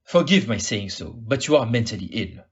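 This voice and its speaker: Audio-play detective, male actor: polite, probing, exact, very animated intonation, muffled recording. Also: fairly low pitch, natural voice. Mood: grave, pointed. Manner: formal, polite, deliberately questioning. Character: shrewd, courteous male detective, probing and precise.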